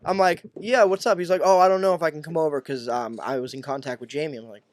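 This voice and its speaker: A man with a Boston accent hosting a podcast, chatting casually, excitable and swearing freely. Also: on the high side, quick pace, no room sound. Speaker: podcast host. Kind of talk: casual chat.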